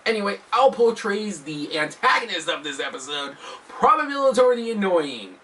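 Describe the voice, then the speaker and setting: Guy doing jokey countdown commentary, kinda high voice, really lively, bit far from the mic. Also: normal speed. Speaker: host of a video countdown show. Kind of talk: scripted video commentary with jokey asides.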